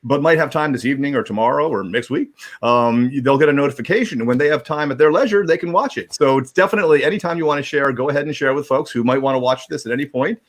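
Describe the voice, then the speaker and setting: A man's voice: medium pitch, quick pace. A man hosting a livestream in casual, rambling talk, often repeating words as he goes. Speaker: host of a livestream. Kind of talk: casual chat.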